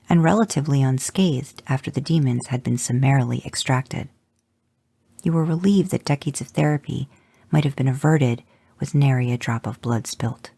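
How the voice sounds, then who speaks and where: Very low gravelly woman narrating. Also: dark tone, dry recording. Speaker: podcast host reading fan fiction. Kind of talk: second-person fiction reading.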